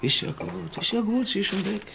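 A man's voice: high-pitched, a little fast, creaky, a crowd in the background. An actor playing an easygoing but easily irritated young husband and father in a naturalistic radio drama, teasing and sometimes defensive. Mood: relaxed.

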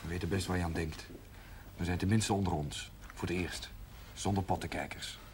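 An actor playing a wry, teasing grown son in a television drama, with dry, mock-formal delivery. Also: male voice, low-pitched, steady tone, moderate pace, natural voice. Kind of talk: naturalistic television drama acting. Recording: noisy old TV soundtrack.

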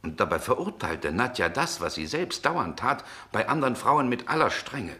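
An actor playing a brooding, world-weary middle-aged gentleman in a 1960s German radio drama, with genteel, measured diction. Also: medium pitch, very animated. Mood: wry, lecturing.

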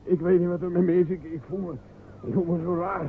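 Radio drama casting: male actor as fearful whining villager, high voice, tinny hissy recording. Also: level tone, fast talker, lightly acted. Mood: desperate, failing. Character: timid, faltering villager man, fearful and whining. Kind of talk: radio play acting. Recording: tinny noisy old radio play recording.

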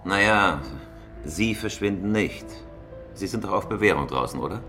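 A man's voice: deep voice, lively intonation, normal speed, over music. A man playing a cool, calculating career criminal in a crime radio drama, curt, commanding and matter-of-fact. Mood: cool, sceptical.

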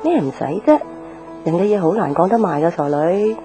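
An actress playing a caring, thrifty older mother with a homely, gently nagging way of talking, in Hong Kong Cantonese radio drama. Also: fairly low pitch, sing-song, moderate pace, faint music behind. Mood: matter-of-fact, nagging.

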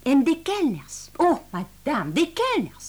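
An excitable young French woman, a cook of firm principles in a radio play. Her voice sits high and swings widely in pitch. She speaks with a French accent and dramatic indignation, tossing in French exclamations. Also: unhurried, full character voice. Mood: indignant, animated.

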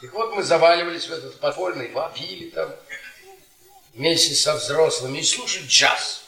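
An actor playing a bitter, worn-down middle-aged history professor, a sardonic storyteller speaking in deliberate, theatrical radio-drama style. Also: male voice, a little high, bright, forceful tone. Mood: wistful, sardonic. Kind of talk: Soviet radio theatre acting, dramatic monologue. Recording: roomy stage-like echo, distant from microphone.

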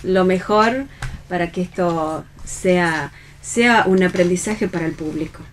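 A woman's voice: on the low side, measured pace, a little hiss or hum. A woman with an Argentine Spanish accent chatting casually as a radio interviewer. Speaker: radio host. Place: radio recording.

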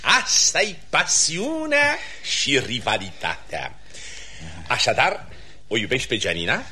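An actor playing a pompous, commanding count with florid, theatrical speech in a radio comedy. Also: male voice, medium pitch, huge pitch swings, pushed and bright, full character voice. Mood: condescending.

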